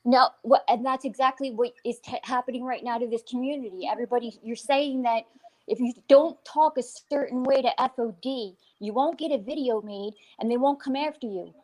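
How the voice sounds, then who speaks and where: A woman's voice: fairly high pitch, fairly quick, a little mellow, low-quality recording. A woman on a live stream panel, insistent and pushing back firmly.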